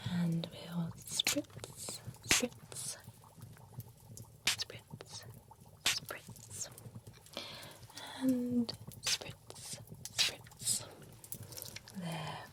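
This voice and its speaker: A woman voicing a genteel female vampire spa attendant in an ASMR roleplay, whispering close to the mic in a gently chatty, courteous way. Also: lowish voice.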